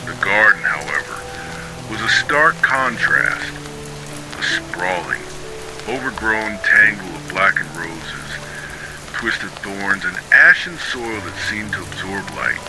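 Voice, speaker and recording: Male horror narrator, low pitch, solemn measured storytelling, highly varied intonation, music playing underneath. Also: big pitch swings, low-quality recording. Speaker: narrator of ghost stories.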